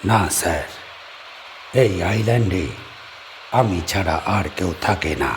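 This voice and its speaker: A man's voice: sits low, measured pace, a little hiss or hum. A man acting as a polite, widowed guest-house owner living alone on an island, in an audio drama. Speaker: D'Souza.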